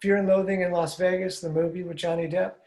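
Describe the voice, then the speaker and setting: An older man hosting a podcast, asking curious, rambling questions that often trail off, speaking into a studio mic on a boom arm while wearing headphones. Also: level tone, quick pace, darkish tone. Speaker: podcast host. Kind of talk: casual conversational interview. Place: room at home with blinds, indoors. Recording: roomy echoey sound.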